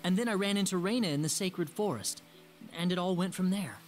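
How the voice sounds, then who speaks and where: A man's voice: high-pitched, fairly quick, soft background music, natural voice. A man playing an earnest, caring young hero in a video game, with a sincere and slightly hesitant delivery. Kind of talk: English video game dub acting.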